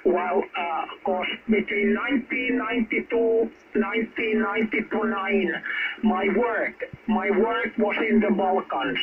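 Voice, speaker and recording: A man answering over amateur radio, slow and deliberate as he spells out call signs. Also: high-pitched. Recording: muffled radio signal with chatter.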